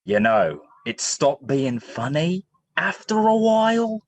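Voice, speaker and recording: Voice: medium pitch, very animated, takes it slowly, no room sound. An actor playing a cheeky male street thief in a stage play reading, wry, colloquial and needling.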